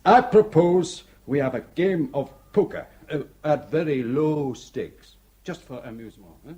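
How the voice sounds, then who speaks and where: A man's voice: fairly high pitch, a little slow, close to an everyday voice. An actor playing a charming, flirtatious Frenchman in a radio drama, speaking English with a French accent and laughing often. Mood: flirtatious, wry. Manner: laughing, teasing, flattering. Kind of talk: 1960s radio drama acting.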